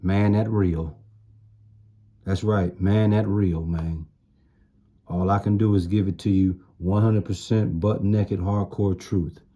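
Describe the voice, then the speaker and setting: A man's voice: deep voice, steady tone, slow, warm tone, muffled recording. A man giving an informal sermon in blunt, streetwise slang, repeating phrases for emphasis. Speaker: street-style preacher.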